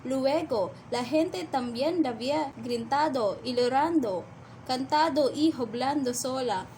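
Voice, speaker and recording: A woman playing a haughty, sharp-tongued, gossiping officer's wife in a radio play, insistent in manner. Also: high voice, unhurried, slight background noise.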